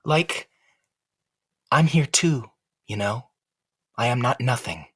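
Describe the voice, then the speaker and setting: A man's voice: medium pitch, animated, slow pace, fairly bright tone, husky, heavily acted. An actor playing an aggrieved, rebellious male ventriloquist's dummy in a comic audio play, indignant and declamatory. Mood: plaintive.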